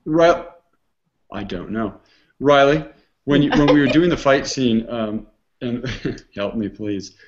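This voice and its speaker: A man's voice: mid-range voice, unhurried, long pauses, lo-fi sound, background noise. A man hosting a casual online hangout, talking in a rambling way with many restarts and fillers. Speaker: host.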